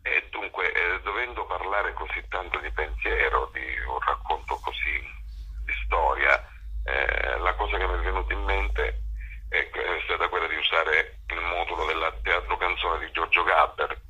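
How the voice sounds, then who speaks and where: A man with a gravelly, husky voice answering as an interview guest, explaining haltingly and emphatically with drawn-out words. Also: low-pitched, bright, forceful tone, highs cut off. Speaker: interview guest, actor and director. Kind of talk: conversational interview.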